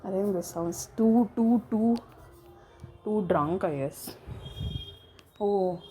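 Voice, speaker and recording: A woman's voice: mid-range voice, slow pace, dark tone, slight background noise. A young woman with an Indian accent hosting a solo podcast, rambling casually at home and stringing thoughts together with lots of filler phrases. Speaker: podcast host.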